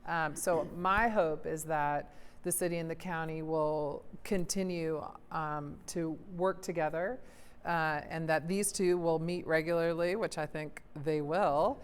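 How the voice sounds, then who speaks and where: A middle-aged woman with a low voice speaks casually as a mayor on a panel. The room is a hall that leaves a long echo on her words. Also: steady tone, unhurried, smooth. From born in Denmark. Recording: echoey hall reverb.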